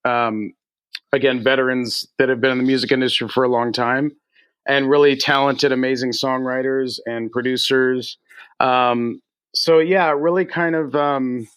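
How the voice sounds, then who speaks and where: A man from Texas answering interview questions casually as a songwriter guest, with halting phrasing and repeated words. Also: mid-range voice, a bit bright, clear, resonant tone, dry recording. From United States, Texas.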